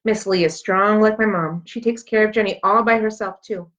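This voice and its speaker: A woman's voice: medium pitch, fairly even intonation, moderate pace, a bit bright. Woman reading a children's story, dull lo-fi recording. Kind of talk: expressive read-aloud narration of a children's story. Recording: dull, lo-fi sound.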